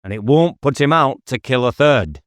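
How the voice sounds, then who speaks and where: A man's voice: medium pitch, expressive, moderate pace, a bit bright, dry recording, pitched above the performer's own voice, lightly acted. Guy reading as intense cop from a novel, urgent and pushy, really dry close recording. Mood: earnest, persuasive. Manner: urgent, insistent. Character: intense, driven male police officer in a novel.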